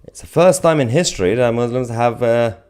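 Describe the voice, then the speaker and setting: A man's voice: medium pitch, a little fast, a little mellow, clean tone. A man hosting a live stream in an informal, off-the-cuff way, reading out viewer comments and giving casual commentary.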